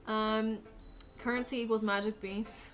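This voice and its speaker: A woman's voice: on the high side, little pitch movement, takes it slowly, fairly bright tone, soft background music, low-quality recording. A woman streamer giving casual, joking commentary while playing a game, laughing often.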